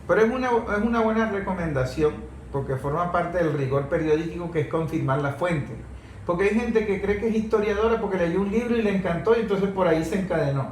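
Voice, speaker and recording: A man hosts a talk programme with a fairly high voice. He explains and argues emphatically, restating his points. The room is clearly echoey. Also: animated, a little fast. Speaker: host of a discussion programme. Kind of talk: opinionated talk show discussion. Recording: echoey room with faint background noise.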